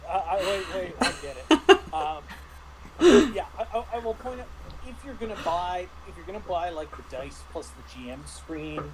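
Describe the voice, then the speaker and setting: A man speaking casually and haltingly as a guest game master, often repeating words as he explains. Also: high voice, crowd noise. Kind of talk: casual chat reviewing a tabletop game. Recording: clearly noisy ambient background.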